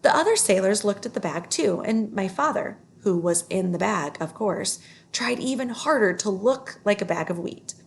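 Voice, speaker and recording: Creaky-voiced woman reading a children's story. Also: medium pitch, animated, moderate pace, a little hiss or hum. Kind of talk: read-aloud children's story narration.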